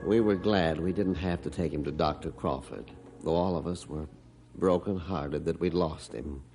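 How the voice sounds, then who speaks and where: A man's voice: extremely low pitch, moderate pace, a little mellow, soft background music. An actor in a 1950s radio drama playing a grieving middle-aged father telling how his dog died, earnest and heartfelt. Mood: somber.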